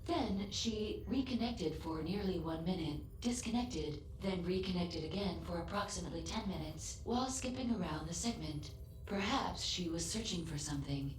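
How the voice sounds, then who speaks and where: A loyal female android played by a woman speaks in a lowish, echoing voice over faint noise. She is formal, precise and matter-of-fact, a measured, literal-minded ship's assistant from a sci-fi game. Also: lively intonation, natural voice. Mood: clinical, deadpan. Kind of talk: scripted video game voice acting.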